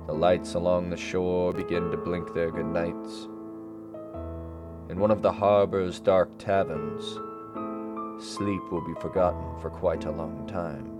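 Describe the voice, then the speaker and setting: An actor playing a brooding, melancholy storyteller, narrating an audio drama in a solemn, measured manner. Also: male voice, low-pitched, gravelly, heavily acted. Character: brooding, melancholy storyteller of dark harbor tales.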